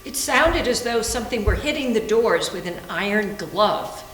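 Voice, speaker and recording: A woman's voice: medium pitch, expressive, normal speed, fairly bright tone. Distant woman narrating in echoey room. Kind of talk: scripted story narration in readers theater. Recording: echoey room, far from mic, faint noise.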